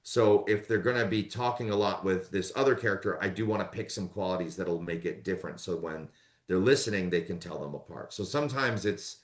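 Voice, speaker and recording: A man's voice: sits low, brisk, roomy sound. A man who narrates audiobooks, talking casually in an interview and rambling with lots of fillers. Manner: relaxed, rambling with many fillers.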